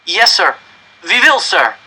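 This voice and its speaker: A frightened young boy, played by a man narrating an audiobook, pleads in a raised, sharp-edged voice. His words come out urgent and panicked. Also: high-pitched, big pitch swings, takes it slowly, slightly rough, voice pushed up, heavily acted. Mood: anxious. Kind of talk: acted audiobook dialogue.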